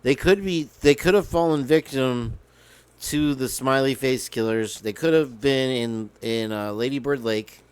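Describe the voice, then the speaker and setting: A man's voice: mid-range voice, unhurried, smooth, dry recording. A man hosting a casual livestream, rambling and joking between bits of guitar playing, his voice crackly today. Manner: rambling, joking, sings off-key. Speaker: livestream host. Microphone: headphones with mic.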